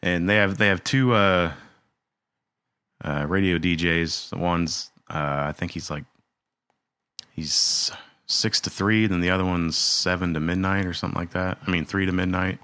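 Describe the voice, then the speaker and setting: A man's voice: deep voice, steady tone, measured pace, pushed and bright, clear, resonant tone, no echo, studio quality. A man co-hosting a casual podcast, easygoing and jokey in his asides. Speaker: podcast co-host. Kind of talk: casual chat.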